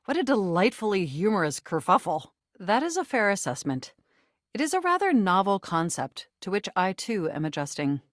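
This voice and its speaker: A nerdy, flirtatious personal computer come to life, acted by a woman for a video game. Her mid-pitched voice rises and dips in a very lively way. She talks in precise, formal, technical phrases, eager and easily flustered, in a dry studio sound. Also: normal speed, no echo, lightly acted. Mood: formal, reserved. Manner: formal, wordy, technical vocabulary. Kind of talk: scripted video game voice acting.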